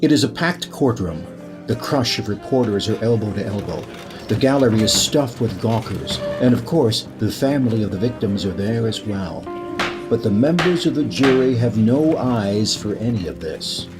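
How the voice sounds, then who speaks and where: Low, eerie suspense host, played by a man. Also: animated, music in the background, natural voice. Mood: ominous narration. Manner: portentous, deliberate, beckoning. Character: eerie, inviting male host of a suspense show. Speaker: narrator. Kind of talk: scripted suspense radio narration.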